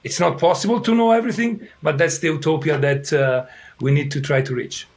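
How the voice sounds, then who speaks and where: Italian-accented man, halting interview answers. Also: fairly high pitch. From Italy. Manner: halting, many fillers and restarts. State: throat irritated. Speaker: soccer head coach.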